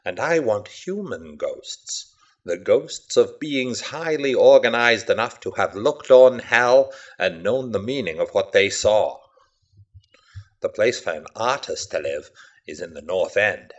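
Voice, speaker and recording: A man voicing an eccentric, brooding painter of the macabre in an audiobook, speaking in a hushed, measured, disdainful manner. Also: on the low side, animated, dull audio. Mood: brooding, scornful. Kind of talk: dramatic story reading.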